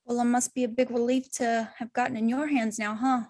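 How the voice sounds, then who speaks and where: A woman's voice: a little high, flat delivery, brisk, darkish tone, natural voice. A woman playing a flighty, chatty, unhinged young clown villainess in an amateur table read. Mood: curious, flippant.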